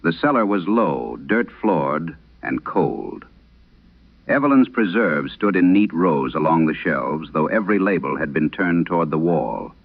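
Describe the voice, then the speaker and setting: Guy doing an old priest exorcist in a horror radio play, grave and haunted, gravelly, swings up and down a lot. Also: low-pitched, animated, phone-quality sound, full character voice. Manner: grave, measured, solemn. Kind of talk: dramatic first-person horror radio storytelling.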